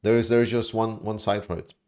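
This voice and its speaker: A man's voice: lowish voice, brisk. Rambling man on a livestream. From Latvia. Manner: rambling, animated, swears, mocks opponents in put-on voices. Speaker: livestream host reading viewer chat. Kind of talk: casual livestream chat.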